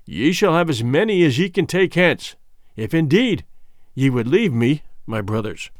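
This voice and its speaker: A man's voice: a little high, sing-song, unhurried, no room sound, studio quality. A man voicing a stern warrior king in a storybook, threatening and imperious, speaking in solemn archaic phrases.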